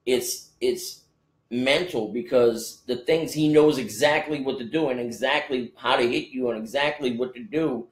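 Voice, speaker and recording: A man's voice: mid-range voice, moderate pace, echoey room. A man in his forties in a casual podcast chat, rambling with many restarts and fillers. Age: 40s. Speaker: podcast co-host, rapper.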